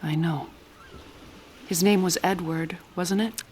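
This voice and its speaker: A woman playing a calm, compassionate leader of secret ageless survivors in an audio drama, speaking gently and earnestly. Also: lowish voice, takes it slowly, a little hiss or hum. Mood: sympathetic. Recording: music behind.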